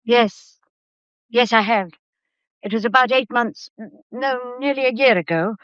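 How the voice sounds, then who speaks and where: An actress in a radio drama playing an anxious, dutiful office secretary who is easily shaken and speaks with emotional, hesitant urgency. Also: female voice, unhurried, a bit bright, no echo. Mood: nervous, earnest. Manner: hesitant, emotional, highly strung. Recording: slightly dull, dry studio sound.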